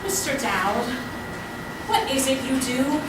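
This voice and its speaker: A woman's voice: high-pitched, very animated, slow pace, heavily acted. A woman playing an earnest, imploring young clinic worker in a theatrical comedy. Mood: imploring, exasperated. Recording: distant, very echoey, noisy stage recording.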